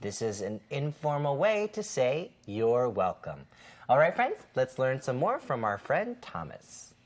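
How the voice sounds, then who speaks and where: A woman's voice: very deep voice, big pitch swings, measured pace, darkish tone. A woman co-hosting an English lesson, explaining simply from a script with careful, emphatic enunciation. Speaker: co-host of an English lesson show.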